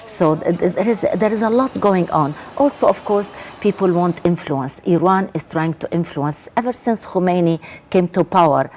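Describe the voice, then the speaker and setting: A woman's voice: fairly low pitch, moderate pace, few pauses, a little mellow, a little hiss or hum. A woman from Lebanon with a Lebanese accent, giving analysis as a guest in a live news interview, emphatic and often repeating her words. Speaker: journalist, guest commentator.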